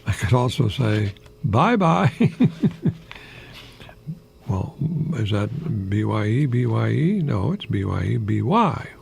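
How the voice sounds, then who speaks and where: An older man hosting a radio monologue, speaking haltingly in a whimsical, self-deprecating way with a husky, gravelly voice. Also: fairly low pitch, very animated, a little mellow, a little hiss or hum. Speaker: radio host, retired professor. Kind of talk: rambling humorous radio monologue with poetry readings.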